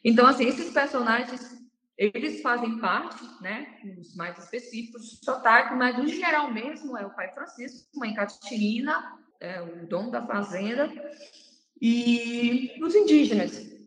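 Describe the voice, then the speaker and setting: A woman, a researcher of folk festivals, explaining at length as an interview guest with many pauses and restarts. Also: a little high, lively intonation, a bit bright, echoey room, low-quality recording. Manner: hesitant, many fillers and restarts. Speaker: researcher of Bumba Meu Boi, interview guest.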